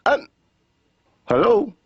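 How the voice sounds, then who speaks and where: A man's voice: slow, natural voice. A man playing a wry therapy patient in a cartoon, telling his worries as an emphatic, conversational stand-up routine. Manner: emphatic, conversational comic storytelling. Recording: dull, lo-fi audio.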